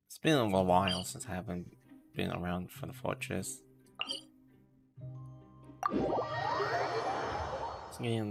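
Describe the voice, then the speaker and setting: A man's voice: on the low side, creaky. A man giving casual live walkthrough commentary as a game streamer, hesitantly thinking aloud as he goes. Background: game music.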